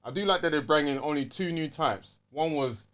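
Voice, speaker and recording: A man's voice: a little high, normal speed, a bit bright. A man with a London accent co-hosting a casual game review chat, slangy and given to acting out his reactions. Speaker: podcast co-host.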